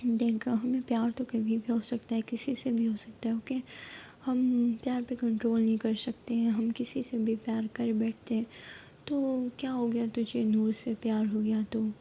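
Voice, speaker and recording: A woman's voice: on the high side, level tone, dull audio, a little hiss or hum, natural voice. A woman whispering the lines of a frank young friend, coaxing and reassuring.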